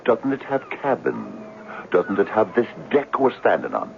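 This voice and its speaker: Superstitious insistent friend, lower voice, very animated, 1970s radio drama, slightly dull hissy sound. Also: male voice, a little slow, vocal fry, full character voice. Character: earnest, insistent friend, superstitious believer in fate. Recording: muffled, hissy 1970s radio broadcast recording.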